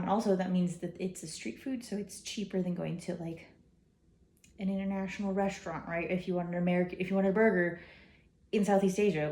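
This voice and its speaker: A woman's voice: on the low side, dark tone, reverberant. A young woman talking casually about travel food, chatty and rambling with lots of fillers. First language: English, some Hindi learned. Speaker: travel vlogger. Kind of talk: casual chat.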